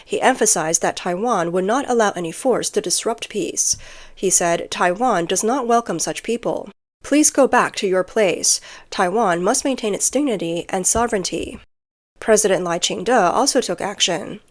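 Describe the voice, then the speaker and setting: A woman's voice: mid-range voice, normal speed. A woman reading scripted news narration, including translated quotes, as the narrator of a report.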